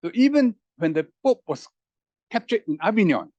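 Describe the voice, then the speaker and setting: A man's voice: high-pitched, sing-song, normal speed, darkish tone, no room sound, lo-fi sound. A man speaking as a roundtable panelist, musing through rhetorical questions with emphatic rises in his voice. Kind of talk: animated reflective public talk.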